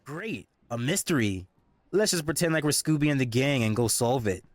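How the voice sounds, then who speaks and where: A cocky teenage boy played by an actor in an audio drama, goading his friends with brash, slangy bravado. His pitch swings around in a very lively way. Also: normal speed, natural voice. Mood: cocky, eager.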